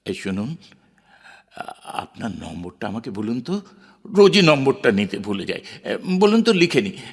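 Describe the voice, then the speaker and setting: An actor playing a married poet, romantic, conflicted and self-questioning, in an emotive, sometimes halting audio drama style. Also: male voice, fairly low pitch, big pitch swings, gravelly, breathy edge, exaggerated voice. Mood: urgent. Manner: theatrical, emotive, halting at times. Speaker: actor and first-person narrator. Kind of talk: Bengali audio drama acting with introspective narration. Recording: music under the voice. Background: background music.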